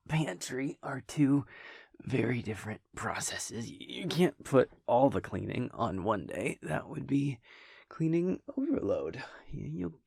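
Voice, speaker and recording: Casting: male actor as a wry, affectionate vampire boyfriend for intimate audio roleplay; unhurried, gravelly, casual and teasing. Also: medium pitch, a little mellow, no room sound, clean studio sound, full character voice. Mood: mock protesting. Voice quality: breathy, gravelly, often dropping near a whisper. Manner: wry, teasing, hesitant, chuckling casual delivery.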